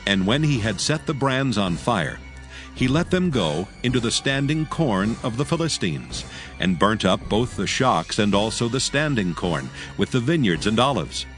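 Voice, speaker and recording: A man narrating scripture dramatically in archaic English, solemn and measured. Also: fairly low pitch, very animated, faint music behind.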